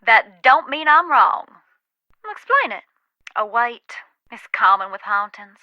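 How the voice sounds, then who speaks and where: A woman's voice: fairly high pitch, lively intonation, slow, no echo, dull audio. An actress playing a wry, haunted Southern woman who knows about ghosts, with a folksy, dramatic, teasing manner in a video game. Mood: frank, knowing. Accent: American South.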